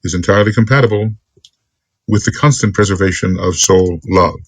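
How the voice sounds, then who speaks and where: A man giving an extemporaneous spiritual lecture as a teacher, speaking earnestly in deliberate, halting phrases. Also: low-pitched, husky, no echo. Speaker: esoteric teacher.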